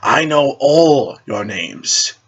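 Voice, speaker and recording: A mysterious masked shadow hero, acted out by a man reading a superhero story. He speaks politely and a little hesitantly, with dry understatement, at a medium male pitch. The sound is dull and lo-fi. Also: animated, extremely slow, a bit bright, slightly rough, heavily acted. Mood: courteous. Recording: dull lo-fi recording.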